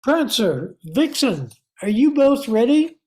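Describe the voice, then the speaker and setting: A woman playing a patient, courteous lead reindeer with a polite, measured manner in an amateur radio play. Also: a little high, very animated, darkish tone, slightly rough, heavily acted.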